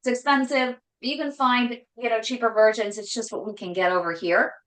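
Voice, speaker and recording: A woman hosting a casual live cooking show from her home kitchen, talking loudly and emphatically with a voice that carries. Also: fairly high pitch, steady tone, brisk, roomy sound, low-quality recording. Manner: loud voice that carries, chatty and emphatic. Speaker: host cooking on a live stream.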